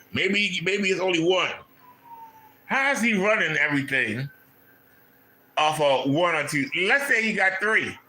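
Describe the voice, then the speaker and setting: A man from Maryland rants incredulously about someone in a video, repeating his points and firing off mocking questions. His voice sits a little high and sounds bright and forceful. The room around him is echoey. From Maryland, USA. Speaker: commentary channel host. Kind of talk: ranting commentary on a clip. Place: home room.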